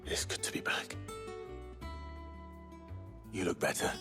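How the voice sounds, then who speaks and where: An actor playing an earnest, reserved, battle-worn young hero in a video game drama. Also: male voice, takes it slowly, over music, natural voice. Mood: quietly pleased. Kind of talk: dramatic game cutscene acting.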